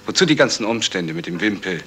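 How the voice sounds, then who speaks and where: An actor playing a dry, sceptical police sergeant in a radio crime play. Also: male voice, on the low side, very animated, fast talker, a bit bright. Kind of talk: 1970s German radio crime play acting. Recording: noisy vintage radio play recording.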